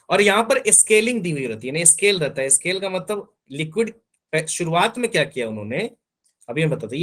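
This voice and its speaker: A man's voice: high voice, a bit bright. A man teaching physics in an online class, explaining step by step and often checking that his students understand.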